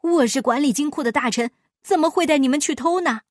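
A woman's voice: high-pitched, brisk, no echo, studio quality, lightly acted. A woman voicing a corrupt male treasury minister in a children's story, sneaky, bossy, conspiratorial and blustering.

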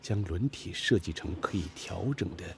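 An actor playing a serious, formal senior technical expert with a gravelly voice, in a Chinese radio drama. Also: male voice, low voice, expressive, moderate pace, a little hiss or hum. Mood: formal, studious.

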